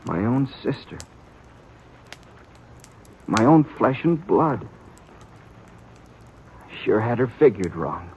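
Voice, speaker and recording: An actor with an American accent playing a stubborn, bewildered rancher in a 1950s radio western, wounded and plain-spoken. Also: male voice, medium pitch, sing-song, slow, long pauses, a little mellow. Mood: hurt, bewildered. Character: stubborn rancher bewildered by his sister's betrayal. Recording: muffled, noisy old radio recording.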